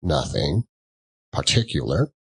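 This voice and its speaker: A man voicing a softened miser in a storybook reading, earnest, defensive and halting. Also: rumbling low, very animated, gravelly, slightly rough, voice pushed down, exaggerated voice. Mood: subdued, regretful. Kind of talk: dramatic audiobook reading of a classic story.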